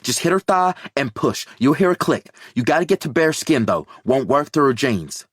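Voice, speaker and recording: A man voicing a sharp woman medic who gives urgent, swearing orders in a crisis. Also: mid-range voice, big pitch swings, normal speed, slightly rough, dry recording, clean studio sound, pitched above the performer's own voice. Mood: commanding.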